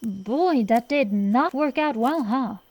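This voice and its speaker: A woman's voice: on the high side, expressive, a little mellow. A woman playing a cocky, defensive tomboy dragon-girl sorceress in a comedic ASMR roleplay, blustering and bantering through her excuses. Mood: sheepish, evasive. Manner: blustering, bantering, hesitant excuses full of drawn-out pauses. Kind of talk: ASMR roleplay, one-sided comedic dialogue.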